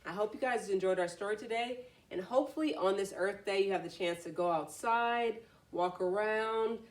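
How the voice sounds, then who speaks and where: A woman's voice: mid-range voice, moderate pace, far from the mic. A woman reading a children's story aloud expressively, adding simple explanatory asides.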